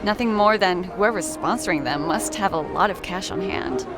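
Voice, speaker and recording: A woman's voice: mid-range voice, normal speed, loud music behind the voice, close to an everyday voice. A woman voicing a playful, knowing psychology student and history buff in a video game, with a wry, teasing manner. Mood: wry, informative. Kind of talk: video game character dialogue.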